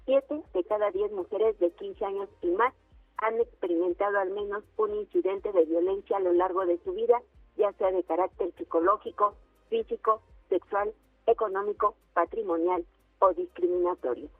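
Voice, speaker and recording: Reportera mexicana, por línea telefónica. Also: female voice, medium pitch, normal speed, slightly rough, no room sound, soft background music. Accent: Mexican Spanish. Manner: steady formal news reading. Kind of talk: news report read over the phone. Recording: tinny phone line, dry.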